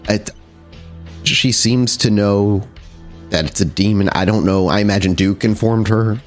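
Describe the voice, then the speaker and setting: A loyal, worried young woman in a tabletop horror game, voiced by a man in a lowish register. She speaks haltingly and bluntly, swearing with exasperated affection. Music plays behind the voice. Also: lightly acted. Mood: concerned.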